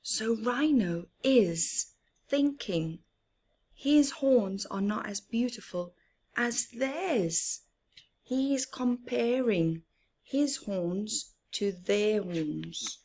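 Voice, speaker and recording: A woman from Africa reads a story aloud for small children. She goes very slowly, stressing words and explaining them like a teacher. Her middle-pitched voice is breathy and often sinks to a near-whisper. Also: animated, a little mellow. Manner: teacherly, emphatic, asking questions and explaining words. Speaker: children's storytime host and reader.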